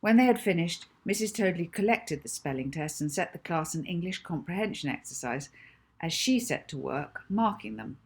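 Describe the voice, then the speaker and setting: A woman's voice: on the low side, lively intonation, moderate pace, husky. A woman narrating a children's audiobook, reading scripted story prose expressively as its author.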